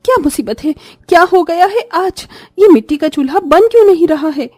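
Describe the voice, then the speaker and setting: A woman as a high-voiced, plaintive poor young mother. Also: expressive, moderate pace, a little mellow, dry recording. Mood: distressed. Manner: earnest, plaintive. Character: poor, self-sacrificing young mother and daughter-in-law, sorrowful. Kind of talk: Hindi cartoon story voice acting.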